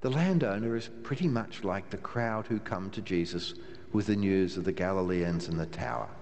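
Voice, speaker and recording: An older man, a minister, giving a measured sermon from the pulpit of a large church, with a wry touch and slow, emphatic pauses. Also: fairly low pitch, a little mellow. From Australia. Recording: long church reverb, faint room hiss.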